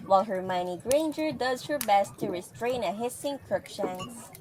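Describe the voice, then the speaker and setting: A woman's voice: medium pitch, lively intonation, a little slow, a crowd in the background. A woman reading scripted, dramatic third-person narration for an audio play.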